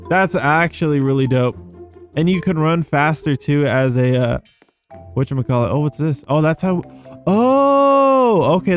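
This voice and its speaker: High-pitched excitable young man. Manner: excitable, slangy, exclaiming. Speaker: gaming video host. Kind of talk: casual gameplay commentary.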